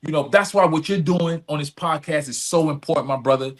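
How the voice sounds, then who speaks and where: A middle-aged man with a slightly high-pitched voice speaks as a guest in a conversational interview. He is earnest and emphatic, repeating key phrases and tagging his points with a quick "right?". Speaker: podcast guest, nonprofit executive director.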